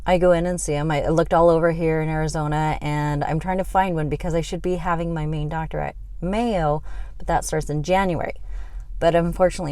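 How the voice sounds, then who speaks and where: A woman's voice: fairly low pitch, a little mellow, dry recording. A middle-aged woman giving a frustrated, rambling update on her health, venting with many fillers. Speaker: health vlogger.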